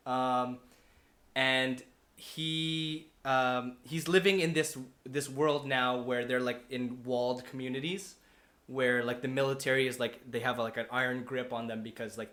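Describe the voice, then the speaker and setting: A man with a mid-pitched voice talks through a story in a casual, unscripted way. He rambles and backtracks to fix his own wording. The recording has a noticeable room echo. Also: fairly even intonation, a little slow, a bit bright. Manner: rambling, often losing his thread and correcting himself. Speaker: podcast panelist pitching a movie adaptation. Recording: roomy, echoing room.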